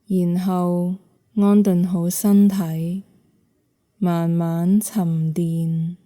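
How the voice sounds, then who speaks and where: A woman with a Hong Kong Cantonese accent leads a guided meditation. Her voice is low and very mellow, with a deeply relaxed, dark tone. She speaks slowly and softly, leaving long, soothing pauses between phrases. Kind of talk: guided yoga nidra meditation narration.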